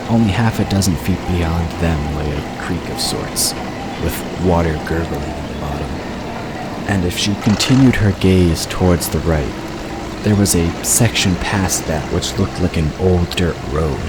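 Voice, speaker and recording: A man's voice: low voice, a little slow, loud music behind the voice, rough recording. A man reading scripted fiction as the narrator of an audio drama. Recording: clear ambient noise under voice.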